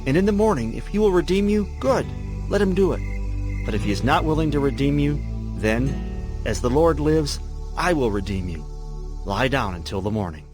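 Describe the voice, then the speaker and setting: A man's voice: mid-range voice, expressive, moderate pace, close to an everyday voice. Casting: male actor as honorable biblical landowner, solemn and declarative, widely varied intonation, light music underneath. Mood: earnest, reassuring. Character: Boaz, honorable, resolute landowner of ancient Israel. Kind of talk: dramatized scripture reading.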